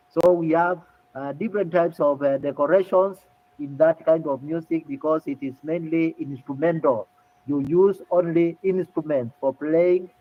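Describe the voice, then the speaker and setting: A man lecturing to students, explaining things deliberately and repeating key points. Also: fairly high pitch. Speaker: music lecturer. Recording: tinny phone-like audio.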